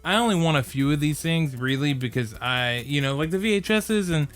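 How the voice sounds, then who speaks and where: A man's voice: a little high, expressive, a little fast, a bit bright, soft background music. A man co-hosting a podcast, chatting casually in a rambling way that circles back mid-thought. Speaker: podcast co-host. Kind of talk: casual chat.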